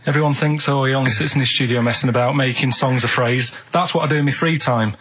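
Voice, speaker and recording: A man's voice: medium pitch, steady tone, quick pace, a bit bright, a little hiss or hum. A man chatting on radio as producer and co-host, plain-spoken, earnest and a bit defensive. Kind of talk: casual radio chat. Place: radio studio.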